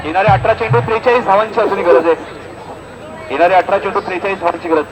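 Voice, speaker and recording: A man's voice: sits high, fairly even intonation, fast talker, over music, a crowd in the background, rough recording. A man with a Maharashtrian accent giving live local cricket commentary, repeating score lines and dropping chatty asides to listeners. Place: outdoors at a cricket ground.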